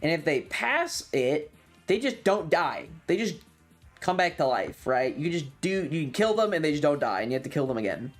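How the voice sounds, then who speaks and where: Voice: mid-range voice, big pitch swings, normal speed. A streamer telling a tabletop game story casually and animatedly, with frequent swearing. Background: background music.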